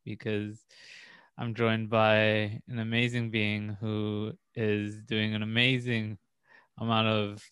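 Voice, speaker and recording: A male podcast host introduces and guides a spiritual conversation in a measured, earnest manner. His voice sits low. His pitch barely moves from phrase to phrase. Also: fairly bright tone, smooth.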